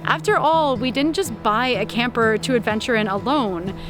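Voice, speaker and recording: Calm woman narrating over music. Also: on the high side, normal speed. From Canada. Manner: calm, heartfelt, with small laughs. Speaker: vlogger.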